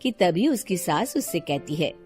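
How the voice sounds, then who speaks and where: A woman's voice: fairly low pitch, sing-song, a little slow, darkish tone, faint music behind. A woman narrating a scripted moral story as its storyteller.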